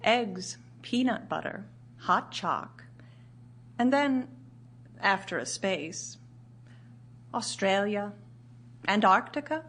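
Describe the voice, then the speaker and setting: An actress playing a grieving widowed writer, narrating in a measured, solemn, literary way for audio theatre. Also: female voice, medium pitch, expressive, a bit bright, heavily acted. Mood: solemn, reflective. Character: grieving widowed woman writer recounting her husband's last year.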